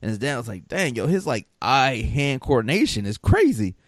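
A man's voice: mid-range voice, sing-song, moderate pace, no room sound, clean studio sound. A man co-hosting a podcast in casual chat, telling stories in loose slang with lots of fillers. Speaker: podcast co-host.

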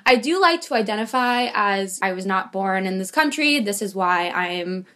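A woman's voice: mid-range voice, expressive, normal speed, sharp tone. A woman from Los Angeles answering interview questions casually, with lots of "like" and frequent laughs. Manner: chatty, lots of "like" and "you know", laughs often.

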